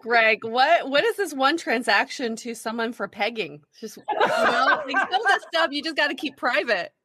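A woman's voice: high-pitched, expressive, moderate pace, pushed and bright, husky, no room sound. A woman in her forties co-hosting a podcast, frank and teasing in casual chat. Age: 40s. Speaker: podcast co-host.

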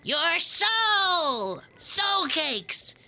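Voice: extremely high pitch, big pitch swings, drawn-out words, vocal fry, pushed and bright, full character voice. A performer playing a mischievous boy in a snake costume, taunting and chanting eerily in a radio drama. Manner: taunting, chanting, drops into whispers.

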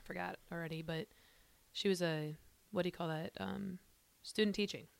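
A woman in a casual chat, a self-described fast talker who rambles a little nervously. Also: on the low side, fairly even intonation, vocal fry, dry recording.

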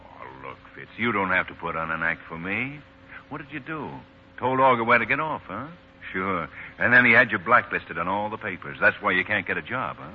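A blunt and loyal newsman friend in a 1940s radio play. His husky voice is on the low side, moving freely as he probes. The vintage recording is dull. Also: animated, a little fast. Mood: insistent. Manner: blunt, probing, conversational. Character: Bill Clark, blunt, loyal male foreign-news journalist friend. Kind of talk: 1940s radio drama acting.

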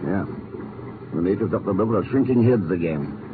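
An actor playing a cool, sardonic secret agent in a 1950s radio drama, dry and unflappable. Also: male voice, low voice, lively intonation, brisk. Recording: tinny, noisy old radio broadcast.

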